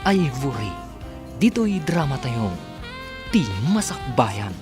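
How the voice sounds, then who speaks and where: A man's voice: medium pitch, sing-song, slow pace. A man with an Ilocano accent reading scripted radio drama announcements. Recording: loud music behind the voice. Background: music.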